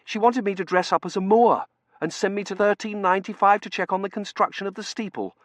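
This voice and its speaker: A man's voice: high-pitched, fairly even intonation, fast, dry recording, pitched above the performer's own voice, natural voice. A man voicing a nervous, deferential young male undergraduate in an audiobook, hesitant and prone to stammering.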